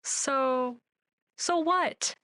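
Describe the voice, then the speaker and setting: A woman's voice: high-pitched, very slow, pushed and bright, no room sound, heavily acted. A woman playing a weary, guarded television director in a radio play, speaking in an understated, naturalistic way. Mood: wry. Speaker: voice actor.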